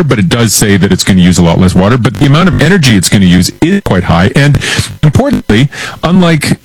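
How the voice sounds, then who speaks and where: A man with a slightly deep voice talks as an expert guest on radio. He explains in a measured, conversational manner and qualifies his claims with care. The sound is very dry and close, with little room in it. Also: breathy edge, dry recording, rough recording. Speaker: expert guest explaining science and tech news.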